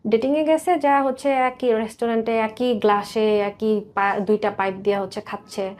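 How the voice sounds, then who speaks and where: A Bangladeshi woman in her thirties, speaking as a guest confiding her life story in an interview, in a hesitant, halting way. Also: a little high, steady tone, darkish tone. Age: 30s. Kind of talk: personal confession in interview.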